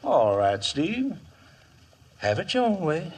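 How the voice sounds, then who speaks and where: Raspy, high-pitched, mocking western outlaw boss. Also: male voice, wildly swooping pitch, takes it slowly, a little mellow, heavily acted. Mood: sly, insistent. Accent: American. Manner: taunting drawl with cackling glee. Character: sadistic, mocking western outlaw gang leader. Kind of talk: 1950s western radio drama acting.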